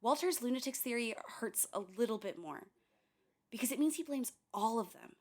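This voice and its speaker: A woman's voice: fairly high pitch, expressive, moderate pace, darkish tone. An actress playing an earnest young woman who records a documentary, speaking conversationally in a radio play. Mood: wry, hurt. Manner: conversational, confiding. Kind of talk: radio play dialogue and confessional narration.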